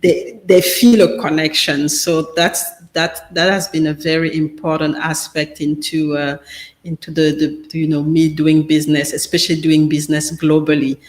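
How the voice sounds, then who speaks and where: A man whose first language is French speaks in a fairly high voice that stays flat and even in pitch. He halts and restarts often, leaning on fillers as he answers interview questions. The sound rings in an echoey room. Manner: halting, full of fillers and restarts. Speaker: business guest speaker.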